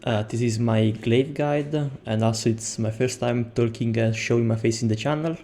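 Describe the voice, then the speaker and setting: A man's voice: mid-range voice, steady tone, moderate pace, mellow, slight background noise. Italian-accented man explaining a game build. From Italy. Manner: explains step by step with frequent hesitations. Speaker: game guide creator. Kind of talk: gameplay tutorial.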